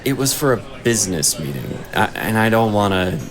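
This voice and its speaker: Stoic grumpy hunter, low voice, unhurried, expressive swings in pitch, dry, fantasy drama. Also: male voice, soft background music. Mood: measured. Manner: dry, restrained, placating. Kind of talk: fantasy audio drama acting.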